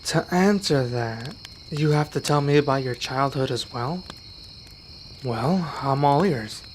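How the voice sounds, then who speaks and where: A man's voice: medium pitch, expressive, takes it slowly, slight background noise. A man playing an earnest young werewolf learning magic in an ASMR roleplay, speaking to one listener with some hesitation. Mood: hesitant, curious.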